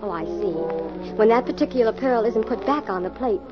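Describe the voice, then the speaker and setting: Lady acting a curious young radio gal, eager, expressive, really animated, music behind, dull 1940s sound. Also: a little high, moderate pace, close to an everyday voice. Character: bright, curious young female sidekick to detective. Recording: muffled old radio recording, music behind.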